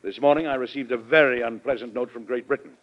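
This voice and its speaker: A man's voice: mid-range voice, fast, close to an everyday voice. An actor playing a stern, exasperated middle-aged American president and father in an old-time radio drama, formal and emphatic. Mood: grave. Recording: dull old radio broadcast recording.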